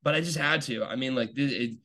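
A man's voice: mid-range voice, brisk, bright, forceful tone. A young man hosting a podcast, talking in a casual, rambling monologue full of fillers and restarts, with an enthusiastic fan's tone. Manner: enthusiastic fan talk. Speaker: podcast host.

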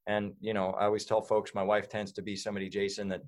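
A man with a somewhat low voice tells his story in a loose, rambling way. He fills gaps with "um" and "you know" and often restarts his sentences. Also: completely flat delivery, fast talker. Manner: rambling, many fillers and restarts. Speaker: guest. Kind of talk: casual interview talk.

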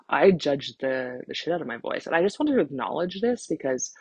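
A woman in her thirties speaks casually on a solo podcast, with a husky voice full of vocal fry. She rambles in a frank, self-deprecating way and swears freely. The sound is close and dry. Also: very deep voice, darkish tone, no room sound, low-quality recording. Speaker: podcast host, vocal empowerment coach.

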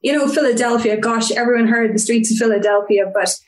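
A young woman with an Irish accent tells stories in an easy, chatty way, sprinkling in ums. Her voice sits a little high. The room around her is noticeably echoey. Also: a little fast. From Ireland (Cork). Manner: chatty, storytelling with filler ums. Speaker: guest fan. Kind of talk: casual interview chat.

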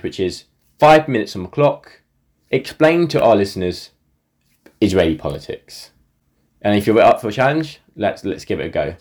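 A man's voice: on the low side, a little mellow. A man hosting a podcast, introducing topics and putting questions to guests. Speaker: podcast host.

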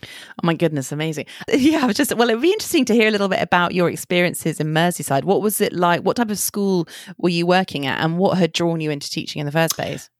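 Low-voiced woman hosting a podcast, dry sound. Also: lively intonation, fast talker, no room sound. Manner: friendly, encouraging questioning. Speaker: podcast host. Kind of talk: podcast interview.

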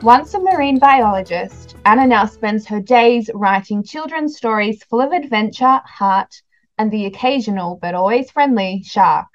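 A woman's voice: a little high, lively intonation, moderate pace, soft background music. Woman podcast host, slightly muffled sound. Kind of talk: podcast interview, warm book chat.

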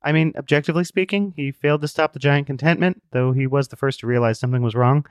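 A man's voice: medium pitch, fast talker, clean tone, no room sound, studio quality, pitched above the performer's own voice, lightly acted. A man voicing a blunt, literal-minded young woman who speaks in a matter-of-fact way.

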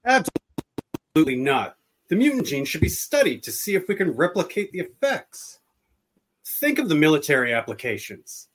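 A man's voice: on the high side, big pitch swings, moderate pace, slight background noise. A man playing an earnest, argumentative debater in a radio play. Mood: assertive.

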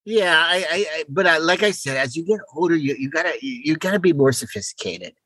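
A man's voice: fairly high pitch, animated, fast, pushed and bright, husky, dry recording. An older man co-hosting a casual podcast chat, stammering and repeating words, often exclaiming. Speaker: podcast co-host.

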